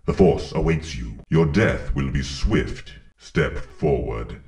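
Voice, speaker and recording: An actor voicing a menacing armored dark lord in a video game, speaking in cold, measured threats with a deep commanding tone. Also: male voice, animated, distant mic, full character voice. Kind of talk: game voice clips.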